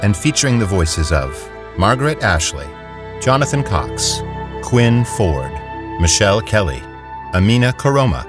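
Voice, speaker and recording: A male narrator who reads the story and the credits in a steady, measured scripted delivery. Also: lowish voice. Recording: music bed behind.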